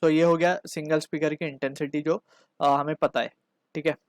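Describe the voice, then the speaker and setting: A man's voice: a little high, level tone, moderate pace, dry recording, studio quality. A man with an Indian accent teaching physics, explaining a problem step by step and often adding short check-in tags. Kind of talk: step-by-step problem-solving lesson.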